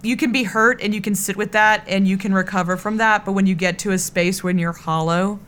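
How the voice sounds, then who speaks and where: A woman's voice: mid-range voice, fairly even intonation, a little fast, fairly bright tone. A middle-aged woman with a Texan accent, an earnest, effusive and rambling singer chatting casually as an interview guest. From Texas, USA. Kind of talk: casual radio interview chat.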